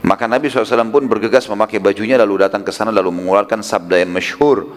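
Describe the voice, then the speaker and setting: A man's voice: lowish voice, fairly even intonation, very fast. A man preaching a religious lecture, conversational and emphatic, with rhetorical questions and casual asides. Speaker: Islamic preacher. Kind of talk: religious lecture retelling prophetic history. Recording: long hall reverb.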